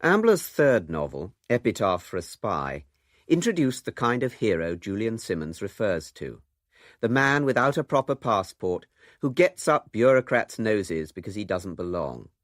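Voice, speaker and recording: Deep, expressively modulated male presenter. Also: no echo, studio quality. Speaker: documentary presenter. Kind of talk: scripted narration.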